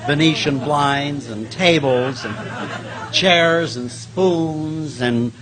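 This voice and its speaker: A man playing a stingy comedian posing as an innocent quiz contestant, hesitant and deadpan with whiny protests. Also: on the high side, extremely slow, crowd noise. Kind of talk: comic stage recreation of 1950s radio. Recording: noisy live stage recording.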